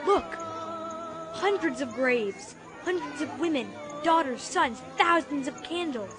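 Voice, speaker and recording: A woman's voice: sits high, expressive, takes it slowly, a crowd in the background. A woman voicing an earnest, wide-eyed schoolboy full of wonder in a radio drama. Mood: awed. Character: wide-eyed, thoughtful Midwestern schoolboy on adventure. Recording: loud music behind the voice. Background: music.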